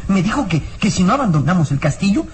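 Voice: medium pitch, sing-song, fast, darkish tone, slight background noise, lightly acted. A performer playing a frightened, loyal boy companion in a radio serial, stammering anxiously on an old recording. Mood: alarmed.

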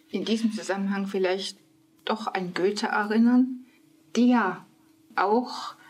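A woman's voice: mid-range voice, breathy edge. A woman speaking as a literary scholar, explaining thoughtfully and searching for her words. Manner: hesitant, searching for words, soft asides.

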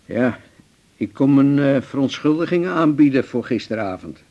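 A man's voice: mid-range voice, lively intonation, moderate pace, natural voice. An actor playing an earnest, apologetic male patient in a radio drama. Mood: contrite, earnest. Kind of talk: 1980s Dutch radio drama acting. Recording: slightly dull 1980s radio recording.

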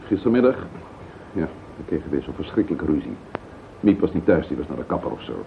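A man acting a distraught, guilt-ridden husband in a radio play, speaking in a halting, emotional, self-justifying way. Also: a bass voice, big pitch swings, vocal fry, exaggerated voice. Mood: haunted, troubled. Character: distraught husband confessing a murderous marriage, bitter and guilt-ridden. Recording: old tinny radio recording with hiss.

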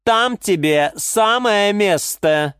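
A woman's voice: mid-range voice, expressive, takes it slowly, a bit bright, smooth, no room sound, studio quality, voice pushed up. A woman voicing a snobbish, scornful female orchid in a children's story, sneering and shrieking. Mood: snide.

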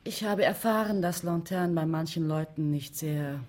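An actress playing a persistent, composed investigative journalist in a radio crime drama, husky and probing. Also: female voice, low voice, normal speed, a little mellow, lightly acted. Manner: probing, insistent.

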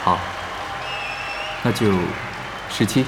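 A man's voice: slow pace, background noise, natural voice. A man playing a shy young esports champion in a radio drama, terse, hesitant and reserved. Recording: echoey arena with crowd behind.

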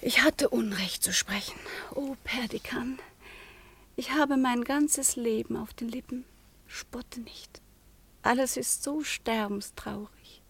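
An actress playing a proud, melancholy eighteen-year-old convent girl in a classical radio drama, with intense, declamatory delivery that often drops to a hush. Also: fairly high pitch, slow, breathy edge, exaggerated voice. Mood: despairing, hushed. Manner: intense, declamatory, often dropping to a hushed whisper.

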